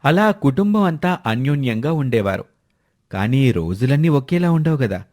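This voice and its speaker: A man's voice: mid-range voice, sing-song, normal speed, a little mellow. A man reading scripted narration for a moral family story.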